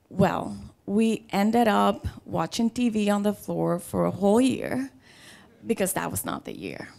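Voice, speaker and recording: A middle-aged woman from the Dominican Republic with a Dominican Spanish accent, a native Spanish speaker, telling a personal story live in a conversational, playful way with dramatic pauses. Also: medium pitch, creaky, a little mellow. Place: live storytelling show before an audience.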